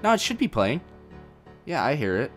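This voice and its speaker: A man's voice: mid-range voice, huge pitch swings, normal speed, fairly bright tone. A young man casually answering viewer questions about his game mod on a live stream, reading chat questions aloud and rambling a little. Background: faint game music.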